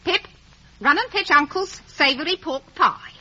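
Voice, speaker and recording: An actress playing a sharp-tongued, bossy village wife in a 1950s radio drama. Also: female voice, lively intonation, measured pace, lo-fi sound, exaggerated voice. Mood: bossy, fawning. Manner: bossy, shrill.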